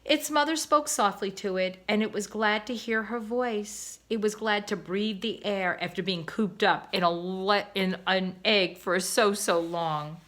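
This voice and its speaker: A woman, a teacher, reading a children's novel aloud in an expressive, gentle way. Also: mid-range voice, measured pace, hardly any pauses. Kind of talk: storybook read-aloud.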